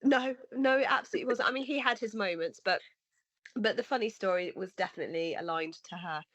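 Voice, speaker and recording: A woman in her thirties with a cold, sounding slightly nasal and husky, chatting earnestly as a podcast co-host. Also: mid-range voice, quick pace, a bit bright. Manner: earnest, rambling with restarts. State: has a cold, sniffly. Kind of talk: casual conversation. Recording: dry, slightly dull lo-fi sound.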